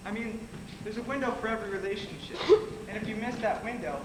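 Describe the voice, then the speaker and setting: A man's voice: sits high, fast talker, natural voice. A young man acting a supportive teenage friend in a stage play, giving casual, declarative encouragement. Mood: encouraging, confident. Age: young adult. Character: supportive teenage guy giving blunt romantic advice. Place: on stage in a school theatre. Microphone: distant audience camera. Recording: distant, echoey theatre, loud audience and noise.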